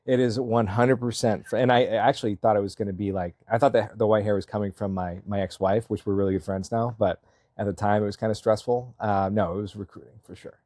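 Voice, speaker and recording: A man's voice: on the low side, fairly even intonation, quick pace, a little mellow, no echo, clean studio sound. A man in his thirties from Massachusetts, talking casually as an interview guest, rambling with fillers and frequent chuckles. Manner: rambling, self-deprecating, laughs often, many fillers. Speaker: selectboard candidate, guest.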